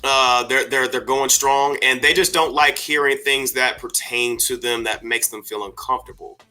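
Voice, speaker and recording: A man's voice: medium pitch, steady tone, normal speed, pushed and bright, faint music behind. A man hosting a podcast, talking casually in a meandering way with lots of fillers and restarts. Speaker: podcast host. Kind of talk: casual discussion.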